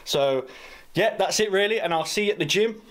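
A man's voice: high voice, fairly quick. A young man, chatting casually to camera in a parked van, with plenty of ums and uhs. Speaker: fitness vlogger. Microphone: camera held at arm's length.

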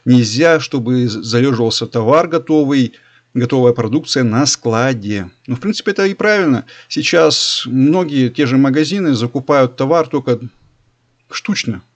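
A man's voice: medium pitch, a little mellow, dull audio. A man in his forties talking casually and enthusiastically as he scrolls through a shop site, repeating his recommendations often. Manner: chatty, repetitive, enthusiastic salesman-like recommending. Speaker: leather belt maker and channel host. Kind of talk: screen-recorded shopping review voice-over.

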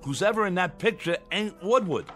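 An edgy small-time crook in a radio drama. His delivery swings widely in pitch, gruff, snappish and full of street slang, over faint music. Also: expressive, a little fast, fairly bright tone. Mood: sour, insistent. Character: edgy, irritable small-time male crook, suspicious and superstitious.

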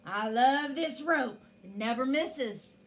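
A woman voicing a mean, boastful, greedy giant man in a storybook, loud and angry, declaiming. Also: high-pitched, animated, takes it slowly. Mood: gloating. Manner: chanting, declaiming.

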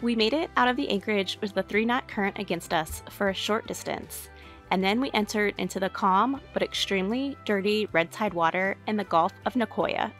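A woman reading scripted voice-over narration for a sailing vlog in an even, measured way. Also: mid-range voice, music in the background.

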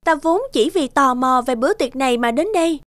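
A woman voicing a proud, misunderstood young dark fairy in a cartoon, speaking in a haughty, dramatic and emphatic way. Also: sits high, fairly quick, no echo. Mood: defiant. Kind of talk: animated fairy tale dubbing. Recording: music behind the voice.

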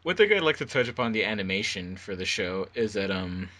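A man talks casually as a co-commentator. His voice sits fairly low but has a bright, forceful edge. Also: big pitch swings, a little fast. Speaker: fandub member and co-commentator.

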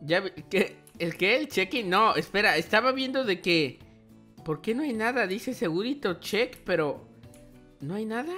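A young man hosts a gaming roleplay in a high, youthful voice. He chats playfully and often exclaims, with music playing behind him. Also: lively intonation, moderate pace, bright, forceful tone. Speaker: gaming video host. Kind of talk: excited gameplay roleplay chat. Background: background music.

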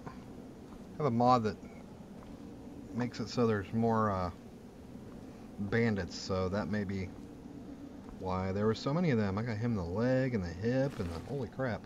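Low-pitched man doing gameplay commentary over noise. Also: normal speed, long pauses, dull audio. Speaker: gaming video host. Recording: clear background game noise. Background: game ambience.

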